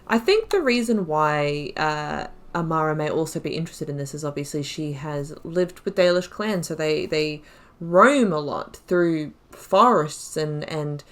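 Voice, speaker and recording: Woman, deep-pitched voice, gaming host, casual commentary, reflective, thinking aloud. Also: animated, unhurried. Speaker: gaming video host playing a role-playing game. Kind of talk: casual playthrough commentary with story analysis.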